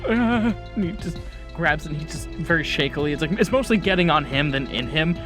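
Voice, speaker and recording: A man's voice: a little high, lively intonation, fairly quick, over music. A man narrating a tabletop horror game as game master, describing scenes vividly and off the cuff.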